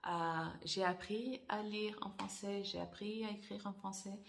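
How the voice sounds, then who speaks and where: A woman whose first language is Portuguese, talking casually and thoughtfully to camera, with many pauses, fillers and restarts. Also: mid-range voice, steady tone, gravelly. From Angola. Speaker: author. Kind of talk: casual personal vlog.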